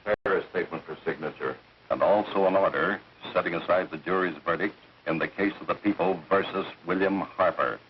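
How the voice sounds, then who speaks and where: An actor playing a stern judge in a 1930s film, speaking in a formal, measured way. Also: male voice, low voice, a little hiss or hum, natural voice. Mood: stern, official. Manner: formal, measured, pronouncing rulings. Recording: dull 1930s film soundtrack.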